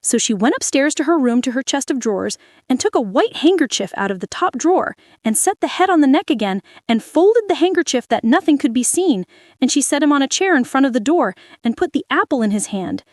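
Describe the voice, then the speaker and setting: High, lively woman reading a fairy tale. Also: brisk, no echo, studio quality. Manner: expressive, dramatic storytelling. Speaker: children's story reader. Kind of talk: storybook read-aloud narration.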